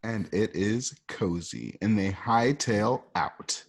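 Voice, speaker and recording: A man's voice: lowish voice, expressive, takes it slowly, breathy edge. A man narrating scenes of an amateur radio play in a loose, chatty way. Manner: loose, chatty, repeats himself.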